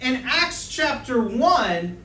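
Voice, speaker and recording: A man's voice: extremely high pitch, sing-song, extremely slow, sharp tone, distant mic, a little hiss or hum. A man preaching a sermon line by line for an interpreter, humorous and anecdotal. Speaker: guest preacher. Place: church hall. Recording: echoey hall from off-mic.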